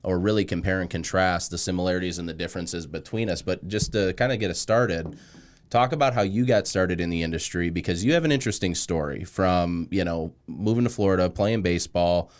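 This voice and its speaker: Podcast host: man, low-pitched, resonant, informal and easygoing in conversation. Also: a little fast.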